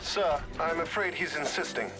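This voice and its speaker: A man's voice: a little high, normal speed, music in the background, natural voice. A man voicing a polite, unflappable AI butler, formal and deferential, in a video game cutscene. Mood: polite, measured.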